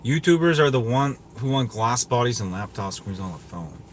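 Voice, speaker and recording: Rambling man over clear background noise. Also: mid-range voice, expressive, normal speed. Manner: rambling, opinionated, repeats himself. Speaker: tech livestream host. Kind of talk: casual livestream chat, answering viewers.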